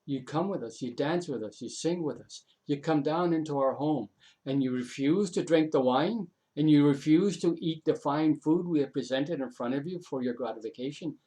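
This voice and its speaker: A man's voice: on the high side, moderate pace, dark tone, natural voice, poor audio. Man voicing indignant, menacing fairy folk. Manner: insistent, accusing, threatening.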